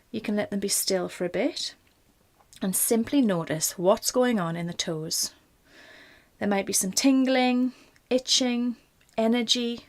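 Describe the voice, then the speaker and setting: A woman leading a guided meditation in a gentle, informal, reassuring manner. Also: on the high side. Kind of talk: guided body scan meditation.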